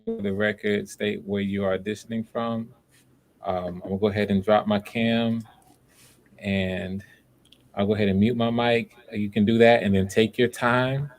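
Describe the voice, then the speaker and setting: A man chatting casually and encouragingly as he hosts online auditions. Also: lowish voice. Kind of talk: casual livestream chat.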